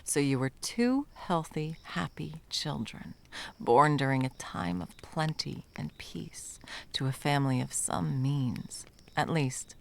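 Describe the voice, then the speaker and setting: A woman's voice: low-pitched, creaky. A woman playing a wry, menacing monster hunter who is sadly in love, in intimate ASMR roleplay with a theatrical, sardonic delivery that dips into whispers. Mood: sardonic, explaining.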